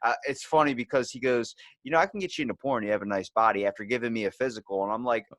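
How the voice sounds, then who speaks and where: A man's voice: mid-range voice, rapid-fire, fairly bright tone, dry recording. A young man hosting a casual podcast, telling comic anecdotes irreverently and acting out bits of dialogue. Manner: irreverent, swears freely, acts out dialogue. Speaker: podcast host.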